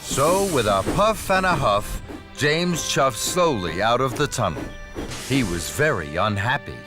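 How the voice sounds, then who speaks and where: A man narrating a scripted children's story in an expressive storytelling manner. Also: mid-range voice, a little slow. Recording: music under the voice.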